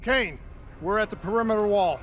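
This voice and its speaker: A man's voice: sits high, fairly quick, a bit bright, slight background noise. A man playing a determined, loyal special operative in a video game, speaking in terse, resolute phrases. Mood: determined, urgent. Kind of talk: dramatic video game cutscene acting. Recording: music behind dialogue.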